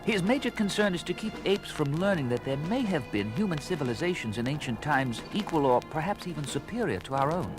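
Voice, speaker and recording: An actor playing a learned, courteous ape scientist on the run in a 1970s audio adventure, with erudite, hesitant, formal speech. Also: male voice, on the high side, lively intonation, brisk, hardly any pauses, natural voice. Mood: scholarly, earnest. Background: dramatic music.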